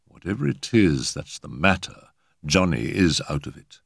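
A man voicing a calm, perceptive gentleman in a storybook reading, speaking quietly and deliberately. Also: low voice, gravelly, husky, no echo, clean studio sound, full character voice.

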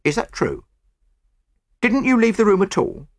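A man's voice: high voice, sing-song, a little slow, dry recording, studio quality, pitched above the performer's own voice. A man playing a practical, authoritative English police inspector in a storybook reading, plain-spoken and businesslike.

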